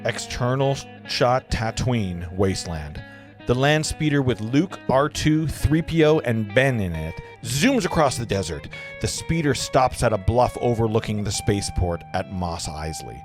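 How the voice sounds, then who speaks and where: A man's voice: mid-range voice, expressive, unhurried, soft background music. A man reading screenplay scene directions aloud as the narrator of a table read.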